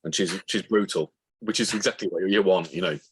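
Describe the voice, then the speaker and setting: A man speaking as a guest author in an interview, explaining things in a thoughtful, halting, conversational way. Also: low-pitched, steady tone, a bit bright, slightly rough.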